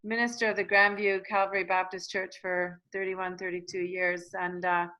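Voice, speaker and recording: A woman's voice: fairly low pitch, flat delivery, sharp tone, rough recording. Hesitant woman moderating a panel. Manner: hesitant, halting, thinking aloud. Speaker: host moderating an online panel. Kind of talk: facilitating a group discussion. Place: online video call.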